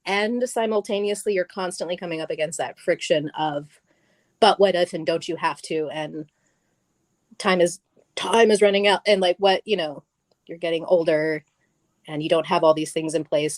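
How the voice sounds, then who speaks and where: A woman's voice: fairly low pitch, a little mellow, dry recording. A middle-aged woman, a guest on stage at a live event, talking casually and animatedly, laughing often and restarting her sentences.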